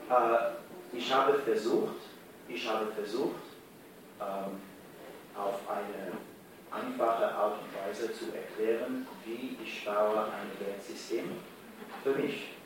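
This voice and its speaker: A man whose first language is not German, speaking haltingly on a discussion panel and searching for his words. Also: on the low side, steady tone. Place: large hall at a community event. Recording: roomy hall sound from far off, noise.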